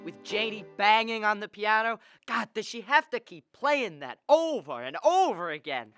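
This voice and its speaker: A woman's voice: mid-range voice, lively intonation, normal speed, fairly bright tone, faint music behind, lightly acted. A young woman playing a stressed, short-tempered husband and father in a radio drama, snapping in exasperation. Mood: irritable. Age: young adult. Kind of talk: school radio drama acting.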